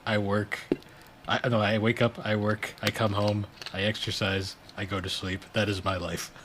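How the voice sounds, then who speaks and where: A man's voice: fairly low pitch, level tone, moderate pace, background noise. A young man co-hosting a podcast, chatting casually with dry, facetious jokes. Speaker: podcast co-host. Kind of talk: casual chat.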